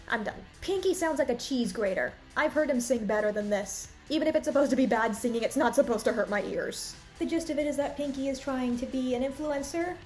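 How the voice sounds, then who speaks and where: High-voiced woman reviewer over music. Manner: candid, critical, conversational. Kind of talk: opinionated cartoon review commentary. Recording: music bed behind the voice. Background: background music.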